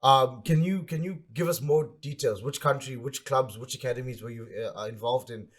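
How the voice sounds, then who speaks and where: A man hosting a casual interview, putting his questions in halting, hesitant phrases. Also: medium pitch. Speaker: interviewer, show host.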